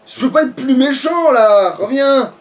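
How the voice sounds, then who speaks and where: A man's voice: extremely high pitch, lively intonation, measured pace. A man in a casual, sweary gaming chat, ranting with mock outrage, recorded indoors at some distance from the mic. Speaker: let's player.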